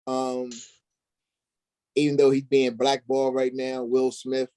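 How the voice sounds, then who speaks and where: A man of medium pitch talks casually as an interview guest. He goes haltingly, thinking aloud, and the sound is very dry with no room echo. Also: monotone, warm tone, clean tone. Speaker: interview guest, stage actor.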